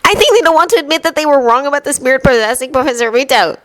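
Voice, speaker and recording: A woman's voice: high voice, animated, rapid-fire, fairly bright tone, voice pushed up. A woman voicing a nervous, earnest teenage boy wizard in a storybook reading, with an eager, rising voice.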